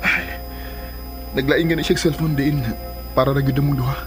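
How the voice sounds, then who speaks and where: An actor playing a stubborn, anguished, lovesick grown son in a radio drama, with a Filipino accent. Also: male voice, mid-range voice, fairly even intonation, moderate pace, music in the background, lightly acted. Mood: insistent. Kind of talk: Philippine radio drama acting.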